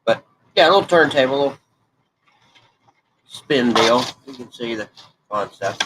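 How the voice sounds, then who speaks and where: A man's voice: medium pitch, lively intonation, moderate pace, noisy background. Congested folksy man in his forties. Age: 40s. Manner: folksy, rambling, self-correcting. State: congested, stuffed-up nose. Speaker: hobby crafting vlogger. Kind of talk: casual rambling chat while crafting.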